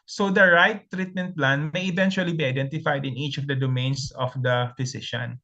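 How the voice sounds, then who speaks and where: A man's voice: fairly high pitch, steady tone, fairly quick, bright, forceful tone, lo-fi sound. A man from the Philippines with a Filipino accent, a native Tagalog speaker, presenting slides in a webinar in a methodical way with frequent ums and restarts.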